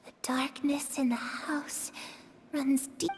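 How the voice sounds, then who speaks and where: Slow, high-voiced eerie little girl. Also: level tone, slight background noise. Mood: ominous, hushed. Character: soft, eerie, innocent ghostly girl. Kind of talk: video game voice acting.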